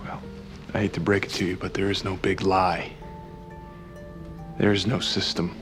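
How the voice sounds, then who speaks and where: A man's voice: sits low, little pitch movement, moderate pace, a bit bright, soft background music. An actor playing a curt, cynical man in a screen drama, blunt and dismissive. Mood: cold, dismissive.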